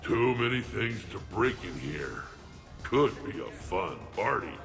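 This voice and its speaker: An actor playing a huge, gruff, combative alien warrior in a video game, with a gravelly voice and blunt, boastful talk. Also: male voice, fairly low pitch, big pitch swings, measured pace, a bit bright, faint music behind, full character voice. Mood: restless. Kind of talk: video game character acting.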